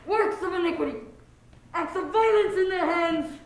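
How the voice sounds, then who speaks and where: A woman's voice: very high-pitched, normal speed. A young woman playing a haunted male pier watchman in a school stage play, preaching in incantatory, archaic biblical phrases. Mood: ominous, chanting. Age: teenager. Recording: echoey stage hall recording.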